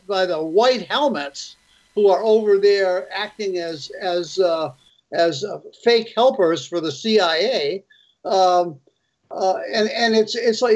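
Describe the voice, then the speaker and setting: A man's voice: high voice, dull audio. A man hosting a casual panel discussion, speaking in a mocking, insistent way. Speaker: show host.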